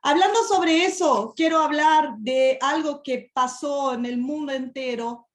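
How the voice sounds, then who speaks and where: A woman's voice: sits high, unhurried, reverberant. A woman from Brazil with a Brazilian Portuguese accent, a native Portuguese speaker, preaching a sermon in a second language with careful, hesitant phrasing and emphatic questions.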